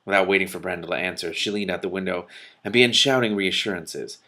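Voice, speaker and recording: A man's voice: deep voice, quick pace, bright, forceful tone. A man reading a novel aloud and breaking off for casual commentary. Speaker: reader and commentator.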